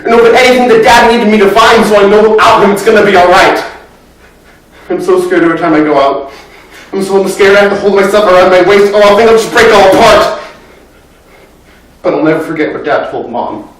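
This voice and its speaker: A man's voice: on the high side, fast, lightly acted. A young man performing a dramatic monologue as a grieving, anxious, talkative boy, with an earnest, intense delivery, recorded in a very echoey room far from the mic. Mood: frantic, frightened. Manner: earnest, intense, breathless run-on telling that swells from hushed to loud. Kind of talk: dramatic monologue at a speech competition.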